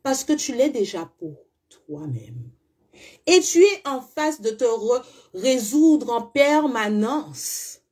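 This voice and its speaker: A woman's voice: a little high, very animated, slow, a little mellow. A woman from the French Caribbean, a spiritual coach speaking bluntly and emphatically to her followers, firing off rhetorical questions. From French Caribbean (Guadeloupe, Martinique). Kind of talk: monologue to followers.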